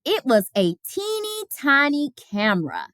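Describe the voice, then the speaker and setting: A woman reading a children's picture book aloud as a storyteller, with expressive, careful phrasing. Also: sits high, unhurried, no room sound, studio quality.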